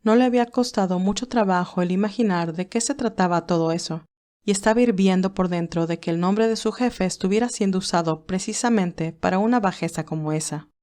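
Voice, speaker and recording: A woman narrator reading a romantic drama as a scripted audiobook, in a medium-pitched voice. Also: brisk, mellow.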